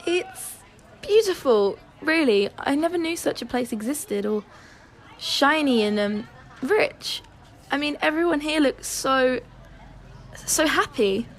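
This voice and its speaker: A woman acting as a guarded young woman, loyal but sceptical. Her high voice is hesitant and doubtful, performed in natural audio drama style. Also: lively intonation, slow pace.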